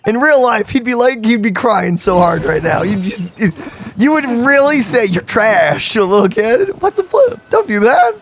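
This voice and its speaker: A woman casually commenting on a game with a co-host, animated and excitable, playfully scolding him. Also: a little high, moderate pace. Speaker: gamer, channel co-host.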